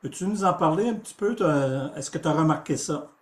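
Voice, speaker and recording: A man, hosting a podcast and asking questions while pausing often to search for words. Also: a little high. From Quebec. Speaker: podcast host. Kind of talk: casual podcast interview. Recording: echoey room.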